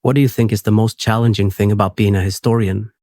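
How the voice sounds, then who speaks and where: Low monotone guy reading a sleep podcast, dry close sound, super steady. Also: no echo. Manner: steady, unhurried, soothing reading. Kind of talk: scripted narration for sleep.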